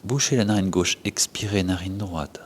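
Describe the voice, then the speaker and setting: A man with a low-pitched voice teaches yoga and breathwork. He gives short, unhurried instructions and leaves pauses between them. A faint low rumble runs behind his voice.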